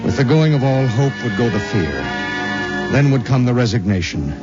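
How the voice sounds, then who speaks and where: An American actor playing a resolute, regretful spaceship pilot in an earnest 1950s radio drama style. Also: male voice, mid-range voice, expressive, moderate pace, natural voice. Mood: somber narrating. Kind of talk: 1950s radio drama acting with first-person narration. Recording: dull vintage radio recording with music.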